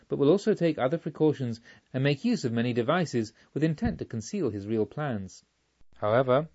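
Audiobook robber chief, read by a man: quiet menace, remorse, formal measured delivery, slightly muffled sound. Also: mid-range voice, natural voice. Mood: calm, resolute. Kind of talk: audiobook storytelling.

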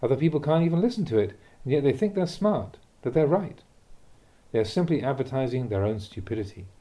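A man reading a Buddhist teaching aloud from a script in a measured, deliberate, instructive manner. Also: mid-range voice, expressive, dark tone. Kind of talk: scripted reading of a dharma talk.

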